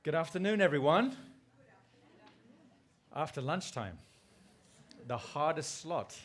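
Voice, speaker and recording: A man's voice: on the high side, animated, takes it slowly. A middle-aged man with a British accent speaks as a guest at a conference, presenting informally and conversationally with personal anecdotes and questions to his listeners. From United Kingdom, born in Uganda.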